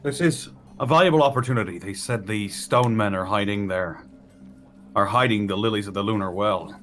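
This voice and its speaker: A man's voice: fairly low pitch, normal speed, fairly bright tone, faint music behind. A man playing a tabletop game, discussing the party's options in conversation with the other players.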